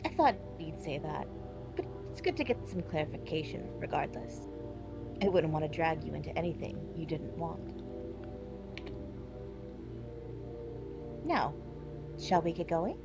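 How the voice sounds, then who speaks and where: Low-voiced, mysterious girl, acted by a woman, over music. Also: animated, normal speed, gravelly. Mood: polite, composed. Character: mysterious, resolute pale girl, softly coaxing and teasing. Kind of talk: live group reading of a story. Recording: music behind the voice.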